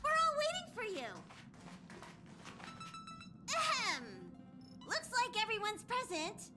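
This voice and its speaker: Super high squeaky little mascot conductor, bossy and cheery, bouncy pitch, game. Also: female voice, very animated, slow, loud music behind the voice, heavily acted. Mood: officious, excited. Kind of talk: video game voice acting.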